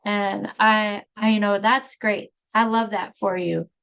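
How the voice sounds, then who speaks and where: A woman's voice: medium pitch, steady tone, a little slow, vocal fry, a bit bright, clean tone, muffled recording. A woman hosting a podcast, upbeat and encouraging as she talks with her guest. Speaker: podcast host. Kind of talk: casual interview chat.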